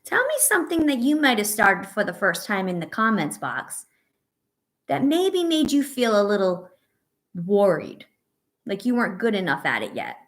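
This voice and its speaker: A woman reading a picture book aloud to young children as a teacher, pausing to ask questions and offer encouragement and reassurance. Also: medium pitch, big pitch swings. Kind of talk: read-aloud story with asides to young children.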